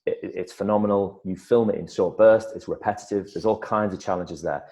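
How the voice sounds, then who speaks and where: A middle-aged man, an actor, telling stories in a casual interview, lively and full of restarts. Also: sits low, a little fast. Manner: animated storyteller, many restarts and repeated words. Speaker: actor guest.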